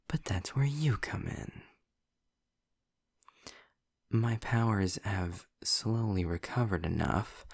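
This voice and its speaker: A man playing a snarky, sardonic demon in an audio roleplay, speaking deliberately in a gravelly, husky voice close to the mic. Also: dry recording, full character voice. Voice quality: gravelly, husky low voice with breathy edges. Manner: sarcastic, deliberate, drawn-out phrasing. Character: snarky, sardonic male demon trapped in hell. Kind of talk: scripted audio roleplay addressed to the listener.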